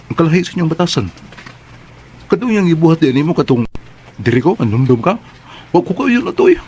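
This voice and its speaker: A man's voice: fairly high pitch, big pitch swings, a little fast, warm tone, a little hiss or hum. An actor playing a young man in a radio drama who stammers and hesitates while asking a woman out. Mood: nervous, earnest. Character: young man, ex-boyfriend, awkward suitor.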